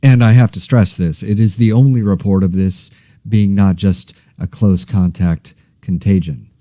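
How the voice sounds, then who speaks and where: A man playing a weary, anxious podcast host in a zombie outbreak, speaking casually with dry, wry, halting asides. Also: low voice, steady tone, dark tone, no room sound. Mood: mildly frustrated. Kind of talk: casual monologue, scripted fiction podcast.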